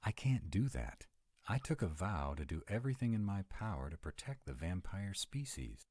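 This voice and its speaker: Vampire for audio drama, male actor: dutiful, protective, very low register, lively inflection, close dry sound. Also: normal speed, no room sound. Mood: earnest, resolute. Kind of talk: roleplay audio drama acting.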